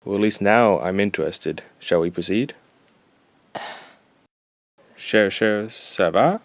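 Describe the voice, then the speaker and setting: Brief: man as a deep-voiced sardonic snob. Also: slow pace, no echo. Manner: drawling, sardonic, sighing. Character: snobbish rich teen boy, twin brother. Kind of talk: fan audio drama.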